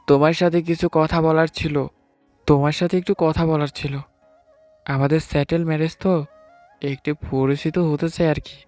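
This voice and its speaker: A man's voice: a little high, darkish tone, no room sound. Man acting timid young husband, close dry sound. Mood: hesitant, nervous. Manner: hesitant, sheepish. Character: timid, nervous newlywed husband, late twenties. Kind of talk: first-person story narration with acted dialogue. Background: music.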